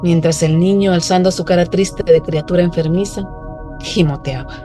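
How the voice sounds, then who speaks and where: A woman's voice: lowish voice, fairly even intonation, moderate pace, dark tone. Woman narrator over faint music. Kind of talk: dramatized literary narration for radio. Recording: music underneath.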